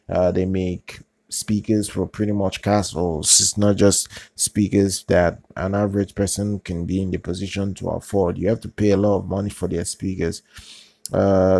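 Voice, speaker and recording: Low, gravelly man with a flat voice. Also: no room sound. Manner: halting, with many fillers, restarts and repeated phrases. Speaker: channel host. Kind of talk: casual product news talk.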